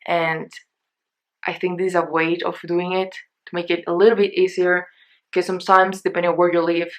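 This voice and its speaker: A young Mexican woman talks through her shopping haul in English, which is not her first language, and her Mexican accent carries through. Her voice sits a little low for a woman. She rambles along casually, stopping often for fillers and restarts. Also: flat delivery, brisk. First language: Spanish. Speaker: beauty vlogger.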